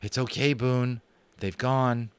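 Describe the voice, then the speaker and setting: A man voicing an exasperated young boy with a sharp, scolding way of talking. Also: medium pitch, fairly even intonation, slow pace, clear, resonant tone, dry recording, voice pushed up, lightly acted. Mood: coaxing. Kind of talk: audiobook character voice.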